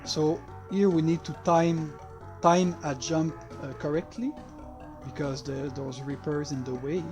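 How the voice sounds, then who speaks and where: A native French-speaking man with a French accent gives casual, halting playthrough commentary as a game developer, hesitating in non-native English. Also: fairly high pitch, fairly even intonation, darkish tone, faint music behind.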